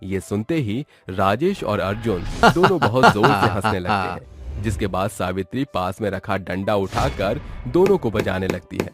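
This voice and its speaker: A man's voice: lowish voice, expressive, normal speed, fairly bright tone. A man narrating a scripted story as a storyteller. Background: background music.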